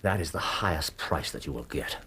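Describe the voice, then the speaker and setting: An English actor playing a commanding Victorian detective in a radio drama, terse, precise and authoritative. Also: male voice, a bass voice, expressive, brisk, creaky, pushed and bright, breathy edge, exaggerated voice. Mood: controlled, firm. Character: commanding, incisive male Victorian private detective. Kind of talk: British radio drama acting.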